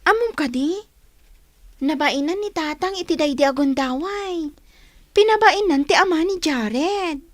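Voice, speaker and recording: A woman's voice: high voice, animated, a little slow, long pauses, no room sound, studio quality. A woman playing a young older sister, teasing and concerned, in a radio drama.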